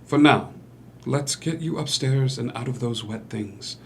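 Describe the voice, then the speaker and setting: A man acts out a doting young suitor in a stage musical read. He sweet-talks at an unhurried pace in a mid-pitched voice with a creaky edge. Faint room noise sits behind him. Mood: doting, coaxing. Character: doting, charming young husband-to-be Henry, smooth sweet-talker. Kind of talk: musical theatre table read.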